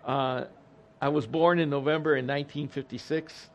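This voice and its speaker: A man's voice: a little high, steady tone, moderate pace, highs cut off. A man in his sixties, a retired pastor whose first language is Spanish, speaking to a congregation in a chatty, self-deprecating way. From Detroit, USA. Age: 60s. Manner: conversational and self-deprecating, frequent ums and restarts. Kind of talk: informal talk to a congregation.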